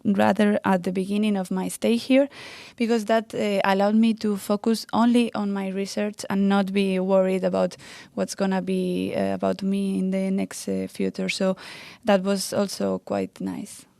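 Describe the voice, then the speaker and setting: A woman in her thirties from Spain with a Spanish accent, answering interview questions about her research with many pauses and fillers. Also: medium pitch, level tone, creaky, dark tone, no echo. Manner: hesitant, many fillers and restarts. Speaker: postdoctoral researcher.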